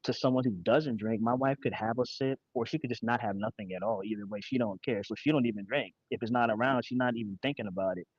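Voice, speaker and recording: A man's voice: medium pitch, fast, hardly any pauses, breathy edge, no room sound, highs cut off. A man in his forties from Connecticut, a podcast guest talking candidly in casual, profane slang. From Connecticut, USA. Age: 40s.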